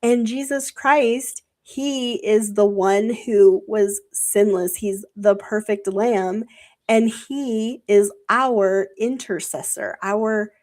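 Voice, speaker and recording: A woman's voice: medium pitch, fairly even intonation, takes it slowly, darkish tone. A woman who is sick and losing her voice talks in a casual, rambling way about religious ideas on a livestream, with many fillers. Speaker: co-host.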